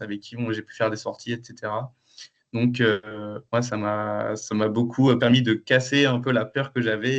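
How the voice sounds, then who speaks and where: A man's voice: lowish voice, fairly bright tone. A young man describing his time abroad in a webinar, hesitant and full of fillers. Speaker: apprentice sharing an exchange experience. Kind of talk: webinar testimony. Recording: muffled video call audio.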